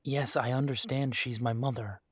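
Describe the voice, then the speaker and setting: A man playing a troubled, tender werewolf boyfriend in an intimate roleplay audio drama, talking haltingly with sighs. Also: mid-range voice, steady tone, close to an everyday voice. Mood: troubled, torn.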